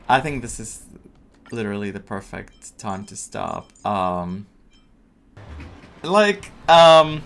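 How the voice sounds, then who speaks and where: A man giving casual, excitable let's play commentary as a game streamer. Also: medium pitch. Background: game music.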